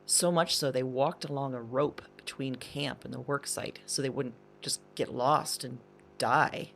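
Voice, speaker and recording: A woman's voice: rumbling low, fairly even intonation, unhurried, creaky, slight background noise, exaggerated voice. A woman playing a shaken, thoughtful diarist in an intimate audio drama monologue, speaking hesitantly in broken, reflective phrases.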